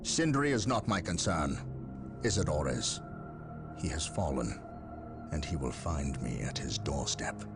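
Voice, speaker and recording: A man's voice: rumbling low, expressive, unhurried, long pauses, full character voice. A man playing a grim, resolute veteran space marine captain, speaking formally and with command in a dramatic video game style. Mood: stern, commanding. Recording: game cutscene with music behind.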